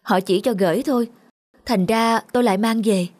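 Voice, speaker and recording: A man voicing a kind, weary, self-sacrificing poor cobbler father in a cartoon, speaking gently and reassuringly. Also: high voice, moderate pace, close to an everyday voice. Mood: weary, apologetic. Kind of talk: cartoon drama dubbing.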